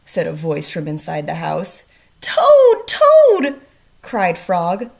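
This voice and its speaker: A woman speaking as a first grade teacher in a children's read-aloud, expressive and putting questions to the listener. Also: deep voice, a little slow, creaky.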